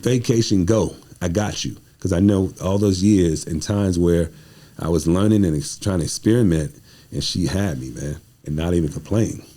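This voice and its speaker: A man with a very low, mellow voice talks casually in a podcast conversation. He speaks as a guest sharing personal stories, leaning on repeated phrases for emphasis. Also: normal speed.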